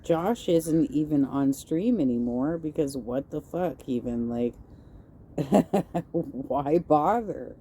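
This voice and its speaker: A woman with a low, very dark and mellow voice gives reaction commentary. She talks at an unhurried pace, blunt and sarcastic, swearing freely. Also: expressive, slightly rough. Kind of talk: reaction commentary over stream clips.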